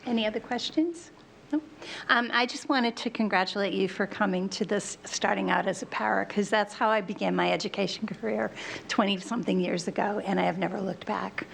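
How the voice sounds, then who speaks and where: A woman chairing a school board committee meeting, reading motions in a formal, brisk way. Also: medium pitch, vocal fry, slight background noise, poor audio. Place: meeting room.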